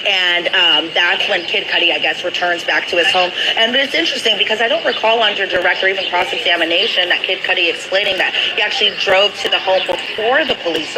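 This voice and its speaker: A woman reporter with a fairly low, slightly hoarse voice explains court testimony from her notes. She talks conversationally and walks through it point by point. Heavy noise sits behind her voice. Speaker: trial reporter. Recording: loud background noise throughout.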